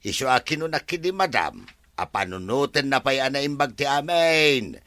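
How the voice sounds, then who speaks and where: A man's voice: medium pitch, animated, normal speed, sharp tone, no room sound. A man playing a cautious, trusted aide to a madam in an Ilocano radio drama, hesitantly giving advice. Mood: advising.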